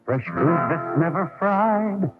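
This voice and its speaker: A man's voice: on the high side, expressive, takes it slowly. A man singing a restaurant advertising jingle. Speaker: jingle singer. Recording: tinny 1980s radio broadcast. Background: loud guitar music.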